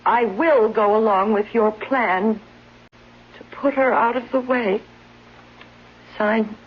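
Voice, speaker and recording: Casting: sickly, anxious wife for vintage radio drama. High, very expressive, unhurried, pleading. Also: female voice, slightly rough, full character voice. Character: bedridden wife with a heart condition, affectionate, anxious. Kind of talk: old-time radio drama acting. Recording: muffled, noisy old radio broadcast.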